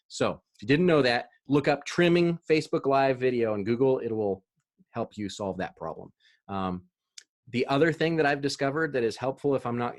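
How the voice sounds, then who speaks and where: A man presenting a marketing webinar over a video call, chatty, with fillers and easy laughs. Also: medium pitch.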